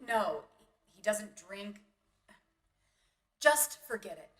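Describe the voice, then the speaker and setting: A woman's voice: medium pitch, sing-song, slow, fairly bright tone, far from the mic. A woman playing a brusque, jaded young city roommate in a motion comic, curt and bossy. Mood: curt, irritated.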